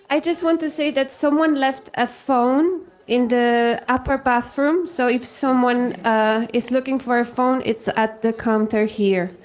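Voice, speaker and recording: Woman staff member, high voice, hesitant announcement to a big room. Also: steady tone, unhurried, hardly any pauses. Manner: hesitant, filler-heavy. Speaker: conference staff making an announcement. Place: large conference hall.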